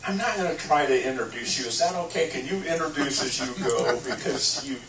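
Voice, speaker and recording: A man's voice: mid-range voice, a little fast, a bit bright. A man hosting an event introduces the guest speaker in a chatty, bantering way in front of a small audience. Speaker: host. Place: small room with a live audience. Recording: echoey room, distant mic, room noise.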